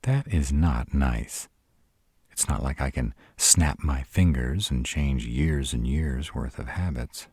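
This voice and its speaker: A man's voice: rumbling low, darkish tone, slightly rough, no room sound, exaggerated voice. A man playing a sarcastic, brooding male vampire in an intimate roleplay audio drama, proud and guarded but secretly smitten. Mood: grumbling, sardonic. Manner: sardonic, exasperated, halting when vulnerable.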